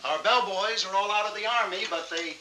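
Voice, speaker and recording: An actor playing a kindly, wry middle-aged hotel desk clerk in a 1940s film, with a folksy, hesitant manner. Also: male voice, sits high, a little fast, bright, forceful tone. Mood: sympathetic, wry. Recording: old film soundtrack with faint noise.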